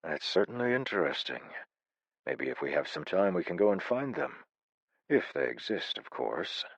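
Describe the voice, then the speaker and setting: A narrator's calm, wry farmer man. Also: low-pitched, moderate pace, gravelly, slightly rough, no echo, pitched above the performer's own voice, heavily acted. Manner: calm, mildly amused.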